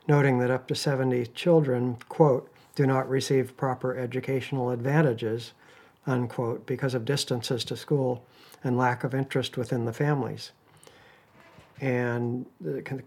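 Even-toned man, informal and halting. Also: medium pitch. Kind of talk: informal talk, reading out quotes.

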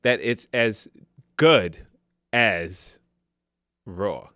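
A man's voice: on the low side, drawn-out words, fairly bright tone, clear, resonant tone, no echo, studio quality. A man in his thirties hosting a casual chat, playful and boastful, often giggling. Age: 30s. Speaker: show host.

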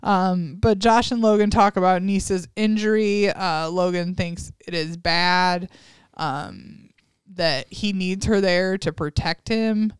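A woman's voice: on the low side, slow, clean tone, no echo, studio quality. A young adult woman hosting a podcast, recapping a reality show in a chatty, giggly way with lots of fillers. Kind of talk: casual chat.

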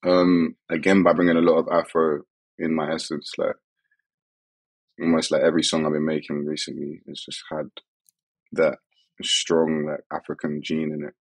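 Very deep gravelly man. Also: monotone, moderate pace, no room sound. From England. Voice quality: gravelly, husky. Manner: laid-back, laughs often. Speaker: musician guest. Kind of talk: casual podcast chat.

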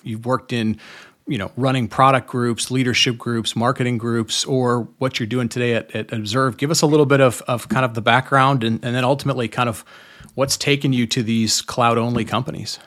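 A man speaks as a podcast host in an informal, conversational style. His voice sits at an ordinary male pitch, and his delivery is a little halting as he talks through his thoughts. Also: a bit bright.

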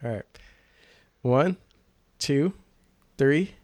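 A man's voice: mid-range voice, lively intonation, very slow, darkish tone, no room sound. A man hosting a casual podcast, slangy and laid-back, sounding nasal from a cold. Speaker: podcast host.

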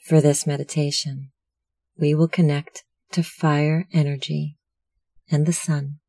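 A meditation instructor narrating a guided meditation in a measured, soothing, instructional manner. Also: mid-range voice, level tone, warm tone, no echo.

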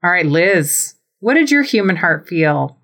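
A woman's voice: on the low side, sing-song, unhurried, fairly bright tone. Informal, blunt woman co-hosting a podcast. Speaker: podcast co-host. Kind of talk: casual fan chat.

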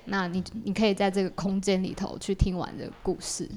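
A woman's voice: medium pitch, little pitch movement, brisk, darkish tone. A woman with a Taiwanese Mandarin accent chatting as a guest artist, explaining her work casually and correcting herself as she goes. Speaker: podcast guest, artist and creator of an audio drama.

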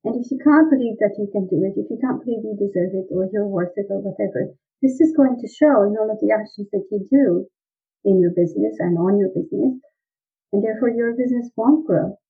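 A woman's voice: medium pitch, a little fast. A woman from Romania, a guest on an interview, explaining sales mindset in an earnest way. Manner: earnest, explanatory, laughs lightly at times. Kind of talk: conversational interview. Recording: husky voice over a tinny phone-like line.

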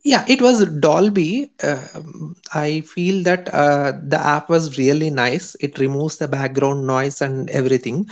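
A man answering interview questions about his podcast, earnest and halting, with many pauses and fillers. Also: a little high. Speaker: podcast guest. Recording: dull lo-fi sound.

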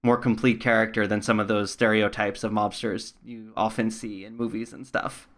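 A man's voice: lowish voice, flat delivery, normal speed, fairly bright tone. Man interviewing in a conversational tone. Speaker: podcast interviewer.

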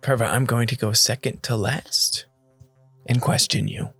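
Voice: mid-range voice, steady tone, unhurried, creaky, a bit bright, slightly rough, faint music behind. A tabletop game player chatting casually about the game. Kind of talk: casual chat.

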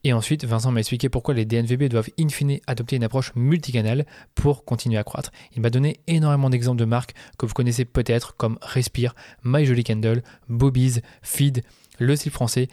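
A man's voice: medium pitch, steady tone, brisk, dark tone, no echo, clean studio sound. A man hosting a marketing podcast, reading a polished introduction and then chatting casually with his guest. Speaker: podcast host.

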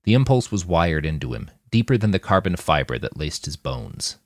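A man's voice: deep voice, very animated, moderate pace, no echo, studio quality. A man reading scripted fiction as an audiobook narrator.

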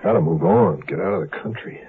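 An actor playing a desperate, brooding escaped convict, moody and terse, in a 1970s radio drama. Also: male voice, low-pitched, wildly swooping pitch, brisk, creaky, husky, full character voice. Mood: restless, tense. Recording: very narrow-band 1970s radio recording.

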